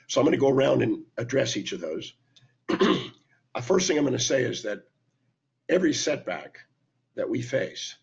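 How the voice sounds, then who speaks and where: An older man with a hoarse, raspy voice from laryngitis, giving an emphatic teaching talk to a webcam. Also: fairly low pitch. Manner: emphatic, lecturing, lists points with hand gestures. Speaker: speaker and teacher. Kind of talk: talking to camera, teaching talk. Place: indoor room at home. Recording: dull lo-fi webcam sound.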